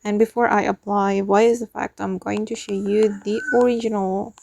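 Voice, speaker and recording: A woman hosting a tutorial, narrating step by step with hesitant, drawn-out pauses. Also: medium pitch, level tone, gravelly, noisy background. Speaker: tutorial host.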